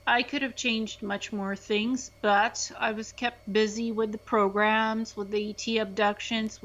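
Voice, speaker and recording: A woman's voice: on the high side, a bit bright, a little hiss or hum. A woman from Ukraine speaking as a guest in an interview, talking earnestly and restarting her phrases. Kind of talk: conversational interview testimony. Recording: slightly dull, lo-fi sound.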